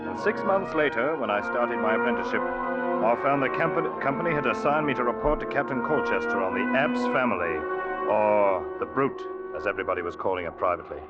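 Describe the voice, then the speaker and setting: A man's voice: mid-range voice, animated, a little fast, close to an everyday voice. An actor playing a young seaman who narrates a grim sea story with earnest intensity in an old-time radio drama. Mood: reflective. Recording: dull vintage radio recording. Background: loud dramatic music.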